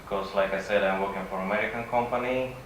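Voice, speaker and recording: A man with a Russian accent, a native Russian speaker, giving a halting conference presentation with frequent pauses. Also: fairly low pitch, fairly even intonation, a bit bright. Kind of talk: conference presentation, semi-improvised. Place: conference session room. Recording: echoey room from far off, faint noise.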